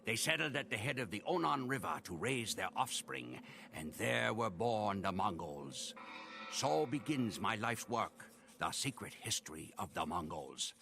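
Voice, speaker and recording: A man playing a solemn Mongol chronicler of the steppe, narrating a video game epic in a gravelly, theatrical, deliberate way. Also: on the low side, expressive, crowd noise. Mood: solemn, weary. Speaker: campaign narrator. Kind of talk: scripted dramatic epic narration. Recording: voice over a clear music bed. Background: game cutscene music.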